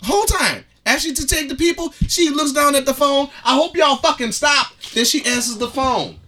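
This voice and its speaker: A man's voice: extremely high pitch, normal speed, bright, forceful tone. A man co-hosting a podcast, telling a story in casual, profane slang and acting out the dialogue. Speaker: podcast co-host.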